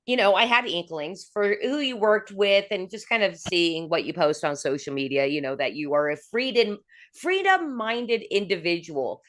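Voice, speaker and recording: A woman's voice: medium pitch, expressive, normal speed, fairly bright tone. A woman hosting a casual interview, chatty and leading with long rambling questions. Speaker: host.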